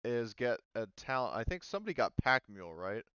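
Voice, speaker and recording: A man's voice: medium pitch, very animated, fairly quick, fairly bright tone, clear, resonant tone, dry recording, dull audio. A man acting as game master, casually explaining tabletop rules in a rambling, informal way.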